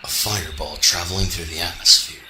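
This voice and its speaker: A man's voice: low voice, fairly even intonation, a little fast, lightly acted. A man voicing a stoic cyborg hedgehog, part computer, in an amateur radio drama, reporting in clipped machine-like phrases. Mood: flat reporting. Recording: roomy sound with faint noise.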